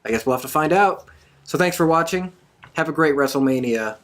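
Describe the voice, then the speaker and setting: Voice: medium pitch, animated, brisk. A host leading a casual wrestling chat from a couch, upbeat and a bit rambling. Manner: upbeat, rambling, leads the talk. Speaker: podcast host. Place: indoors on a couch.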